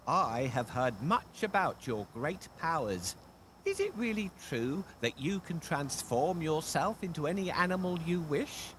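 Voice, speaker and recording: Man voicing a high-pitched, animated, cunning storybook cat. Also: a little slow, slight background noise. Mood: flattering. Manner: smooth-talking, persuasive, theatrical. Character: cunning, flattering talking cat, servant to his master. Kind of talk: children's storybook character acting.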